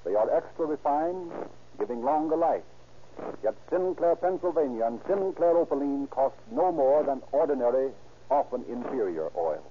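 American vintage radio announcer, tinny sound. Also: male voice, mid-range voice, animated, normal speed, slight background noise. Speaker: announcer and narrator. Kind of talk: 1930s radio scripted narration and announcing.

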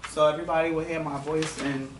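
A young man hosting a casual podcast, talkative and clear-spoken, asking his guests questions. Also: on the high side, little pitch movement, fast. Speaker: podcast host. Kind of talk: casual chat. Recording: roomy, distant mic, audible hiss.

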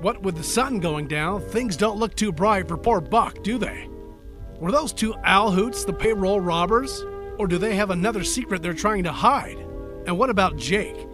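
An American man working as announcer, reading scripted narration for an old-time style radio serial. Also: high-pitched. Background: loud music.

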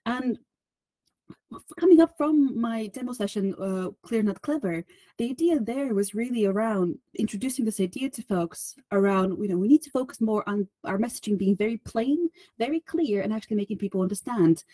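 A woman's voice: a little high, expressive, normal speed, dark tone, no room sound, low-quality recording. A woman from Bulgaria, a guest on a podcast, talking in a chatty, self-deprecating way with many restarts and fillers. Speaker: podcast guest, solutions engineer. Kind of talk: conversational interview.

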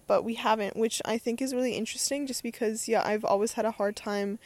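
A woman's voice: fairly high pitch, steady tone, fairly quick, no echo. A young adult woman talking in a casual, unscripted monologue as a podcast host, rambling and hesitant as she thinks aloud.

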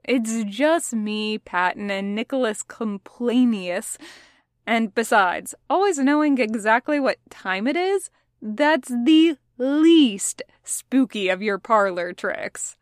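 Female reader as easygoing young boy, playful and theatrical, teasing, higher-pitched, unhurried storybook delivery. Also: animated, no echo, studio quality. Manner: playful, dramatic ribbing.

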